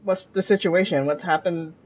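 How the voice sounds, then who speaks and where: A man's voice: sits high, fairly even intonation, moderate pace. A man streaming a video game, giving casual live commentary.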